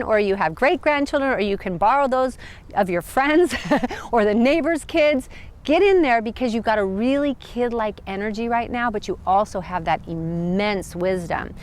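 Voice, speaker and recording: An American woman teaching about chakras, talking casually to camera outdoors in a park, gentle and upbeat. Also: mid-range voice. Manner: gentle, upbeat, laughs often. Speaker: chakra teacher, channel host.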